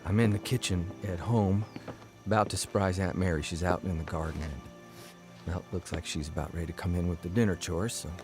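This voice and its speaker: An actor playing a wry, teasing 1930s newspaper columnist in a podcast radio drama. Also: male voice, deep voice, fairly even intonation, a little fast, over music, lightly acted. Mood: musing.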